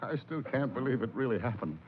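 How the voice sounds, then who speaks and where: A man's voice: mid-range voice, expressive, a little fast, lightly acted. An actor playing an uneducated, evasive carnival showman with slangy, folksy speech in a 1940s radio crime drama. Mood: shaken. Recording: tinny old radio recording with noise.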